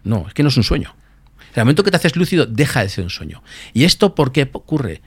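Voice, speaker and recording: A man from talks quickly and eagerly, piling on asides as he explains. His voice sits at a middle pitch. The sound is dry and close, with no room echo. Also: husky. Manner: fast, animated explaining with many asides. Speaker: guest, lucid dreaming expert. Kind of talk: podcast interview explanation.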